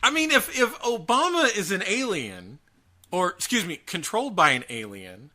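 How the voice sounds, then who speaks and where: A man hosting a podcast, chatting casually and comically, incredulous and often restarting his sentences. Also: sits high. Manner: animated, incredulous, halting restarts. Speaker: podcast host. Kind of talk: casual comedic chat about a bizarre news story.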